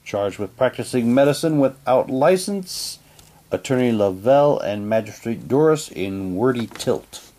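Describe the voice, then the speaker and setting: A man's voice: mid-range voice, expressive, a little slow, dark tone. A man casually reading printed material aloud and commenting on it, with offhand muttered asides. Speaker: unboxing presenter.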